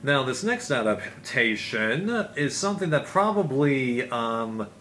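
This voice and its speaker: A man's voice: medium pitch, sing-song, measured pace, a bit bright. A man talking casually and unscripted, as a reviewer in a collection vlog, in a rambling, conversational way with frequent asides. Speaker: video reviewer of home video releases. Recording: clearly noisy amateur recording.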